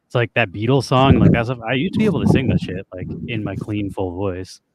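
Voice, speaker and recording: A young man with a low, raspy voice, chatting loosely and swearing casually as a podcast co-host. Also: a little fast, heavy background noise. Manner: loose and profane, trails off with fillers. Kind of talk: casual chat with guitar and singing.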